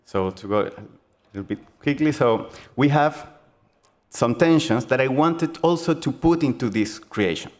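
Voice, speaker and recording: A man's voice: mid-range voice, animated. Earnest Chilean man giving a talk. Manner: halting, many fillers and restarts, earnest. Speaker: game designer giving a conference talk. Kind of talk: conference presentation.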